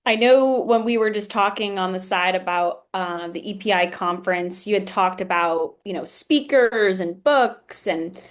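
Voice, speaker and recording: A woman's voice: mid-range voice, a little slow, few pauses. A woman hosting a casual business podcast, asking questions in a friendly, chatty way. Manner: friendly, chatty, laughs often. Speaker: podcast host.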